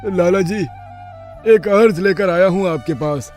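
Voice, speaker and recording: A man's voice: high voice, unhurried, a little mellow, soft background music. A man voicing a poor village father in a moral-story cartoon, humble, earnest and deferential as he pleads. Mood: humble, pleading.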